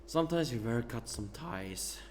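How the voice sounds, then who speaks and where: A man giving casual, rambling commentary while playing a game, laughing and clearing his throat often. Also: medium pitch, lively intonation, normal speed, slight background noise. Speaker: gaming streamer. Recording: game music behind.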